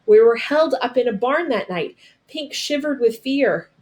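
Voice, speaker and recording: A woman narrating a storybook aloud as a storyteller, with dramatic, deliberate phrasing. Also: on the high side, expressive, a little mellow.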